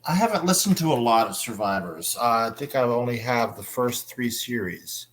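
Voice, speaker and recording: A man's voice: medium pitch, animated, moderate pace, low-quality recording. Chatty man moderating a panel. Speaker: panel moderator at a fan convention. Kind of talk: casual panel discussion.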